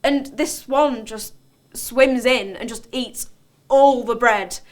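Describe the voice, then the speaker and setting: A woman's voice: fairly high pitch, fairly bright tone. A woman playing a volatile, defensive character in a dramatic monologue, blunt and colloquial. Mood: animated, scornful. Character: volatile, defensive, sweary woman recounting her outburst. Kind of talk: dramatic monologue, conversational storytelling.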